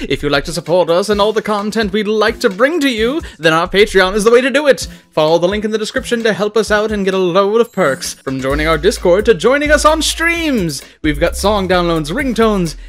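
Man as a high-voiced, mischievous showman mascot. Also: a bit bright. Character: playful, mischievous Mad Hatter-like channel mascot. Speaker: channel host. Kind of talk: theatrical promo announcement.

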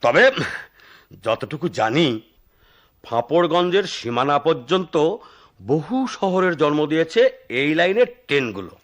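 A man's voice: a little high, big pitch swings, unhurried, long pauses, fairly bright tone. An actor playing an eccentric, talkative retired railway switchman in a radio drama, with a theatrical storyteller's manner. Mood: animated storytelling.

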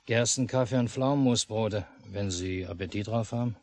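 Low-voiced, unruffled father played by a man. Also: moderate pace, darkish tone, lightly acted. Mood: calm, dry. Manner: terse, dry, matter-of-fact. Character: unruffled middle-aged father, an angler, dry and calm. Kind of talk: 1950s radio play acting. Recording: noisy mono 1950s radio recording.

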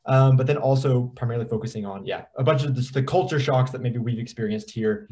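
Relaxed American man on a webcam. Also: medium pitch, fast talker. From United States. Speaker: YouTuber. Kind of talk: self-introduction on a video call. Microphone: webcam on a video call.

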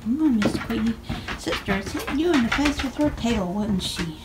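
A woman's voice: animated, normal speed, very noisy. An older woman talking to her dogs and chickens while doing morning chores in the backyard, filming on a handheld phone. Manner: talks sweetly to dogs and chickens in a high sing-song. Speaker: vlogger. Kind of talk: casual narration while doing farm chores. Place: backyard and shed at night, outdoors. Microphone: handheld phone camera.

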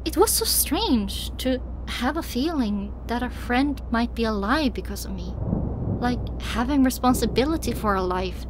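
High-voiced woman narrating an audiobook. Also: expressive, measured pace, background noise. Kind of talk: scripted first-person story narration. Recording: music behind the voice.

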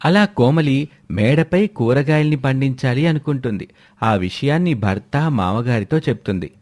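A man narrating an animated moral story in a steady storytelling manner. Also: mid-range voice, normal speed.

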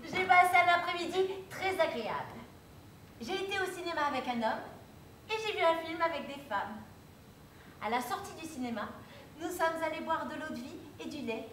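A woman acts out a cheeky, flighty housemaid with a very high, bouncy voice. She chatters back at her employers with bold impudence. The voice comes from far off in an echoey theatre. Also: animated, normal speed, a bit bright, slight background noise. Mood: chirpy. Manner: chirpy, bossy, scolding guests. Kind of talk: absurdist stage acting. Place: theatre stage. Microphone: far room mic.

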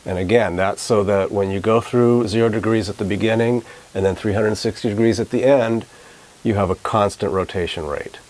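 Casting: male instructor, 40s, slightly low voice, clear explanatory demonstration style. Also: fairly even intonation, moderate pace, a little mellow, low-quality recording. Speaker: physics lab instructor. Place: small anechoic chamber, indoors.

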